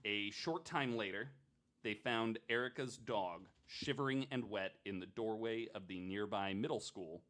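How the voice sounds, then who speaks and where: Low-voiced man telling true crime casually. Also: unhurried, fairly bright tone. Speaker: podcast host telling a true crime story.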